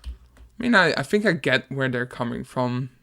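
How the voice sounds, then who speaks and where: A man's voice: mid-range voice, expressive, moderate pace, creaky, bright, forceful tone. A young man from Denmark with a Danish accent, chatting casually and laughing often while making music at home on a desk mic. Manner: laid-back, laughs often, hesitant restarts. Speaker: music producer streamer. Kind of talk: casual chat while producing.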